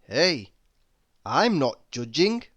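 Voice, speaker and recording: Very slow, animated man reading quotes. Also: fairly high pitch, slightly rough. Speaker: reader. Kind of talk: reading humorous quotes aloud.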